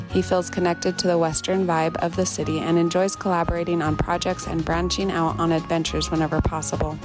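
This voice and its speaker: Woman podcast host, low voice, slow solemn narration, guitar music underneath. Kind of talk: scripted intro narration and interview. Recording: music bed behind the voice.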